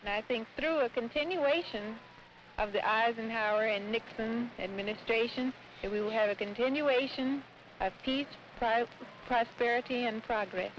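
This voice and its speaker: A woman's voice: a little high, moderate pace, noisy background. Young American woman, dull 1950s newsreel sound. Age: young adult. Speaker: convention usherette interviewee. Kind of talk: on-the-street newsreel interview. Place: outdoors, windy city street.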